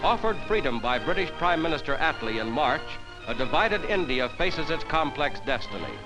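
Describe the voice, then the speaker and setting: American guy doing old 40s newsreel narration, highish voice, formal announcer delivery, loud music behind. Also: sing-song, moderate pace, poor audio. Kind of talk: 1940s newsreel scripted narration.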